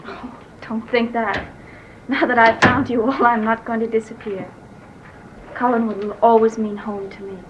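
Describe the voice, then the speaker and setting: An actress playing an earnest, hesitant young bride-to-be in a 1960s television soap, on a noisy old soundtrack. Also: female voice, a little high, flat delivery, moderate pace, a crowd in the background, natural voice. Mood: wistful, grateful.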